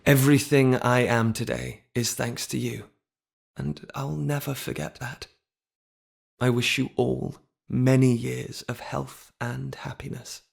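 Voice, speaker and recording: An actor playing an earnest, spirited young runaway prince turned guardian, in an expressive video game performance. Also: male voice, medium pitch, measured pace, vocal fry, breathy edge, no echo, clean studio sound, exaggerated voice. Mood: solemn, heartfelt. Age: young adult. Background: orchestral music.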